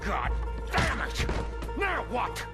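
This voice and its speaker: An actor voicing a brash, hot-headed bank robber in a video game, blunt and barking. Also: male voice, very high voice, wildly swooping pitch, takes it slowly, full character voice. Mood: aggressive. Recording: loud music behind the voice.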